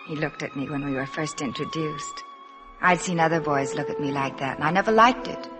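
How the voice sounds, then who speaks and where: An actress playing a shy, earnest young working girl in love, in halting, intimate 1950s radio drama style. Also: low-pitched, huge pitch swings. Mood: wistful, hesitant. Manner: soft-spoken, halting, wistful. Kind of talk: 1950s radio drama acting, intimate first-person narration. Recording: 1950s radio broadcast, music behind.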